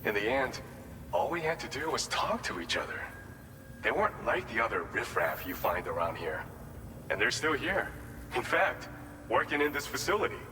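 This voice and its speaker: A man voicing an earnest, humble former boxing champ in a video game, speaking in a measured, plain-spoken, sincere way. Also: mid-range voice, very animated, lightly acted. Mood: humble, reflective. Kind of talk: video game cutscene dialogue and briefing. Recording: reverberant hall, background vehicle noise.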